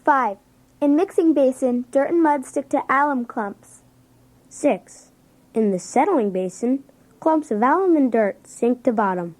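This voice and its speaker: A woman's voice: high-pitched, animated, unhurried, darkish tone. A woman playing an earnest schoolchild who carefully recites science facts for a children's read-along.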